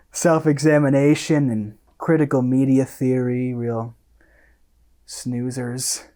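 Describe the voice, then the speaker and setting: An actor playing a smug, self-satisfied content creator in a radio monologue, condescending and theatrical, with deliberate pauses. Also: medium pitch, a little mellow, natural voice.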